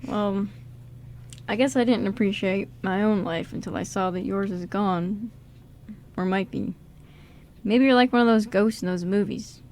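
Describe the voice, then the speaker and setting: A woman's voice: medium pitch, unhurried, pauses often, darkish tone, natural voice. A woman playing a guarded, self-doubting male con artist in an amateur radio drama, speaking casually and a little hesitantly. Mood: reflective. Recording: background noise in amateur radio recording.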